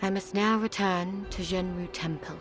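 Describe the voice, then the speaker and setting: An actress playing a formal, guarded Taoist priestess in a game cutscene, hesitant and courteous. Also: female voice, mid-range voice, fairly even intonation, moderate pace, natural voice. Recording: music behind.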